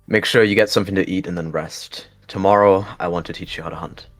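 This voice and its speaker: A man voicing a stern but gentle adult male warrior cat, a mentor giving measured instruction in a roleplay. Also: low-pitched, fairly even intonation, soft background music. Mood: calm, apologetic.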